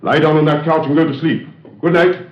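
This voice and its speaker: An actor playing a firm, condescending older friend in a 1930s radio drama. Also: male voice, on the high side, steady tone, brisk, a little hiss or hum, natural voice. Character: brisk, patronizing older male friend. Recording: dull roomy 1930s radio recording.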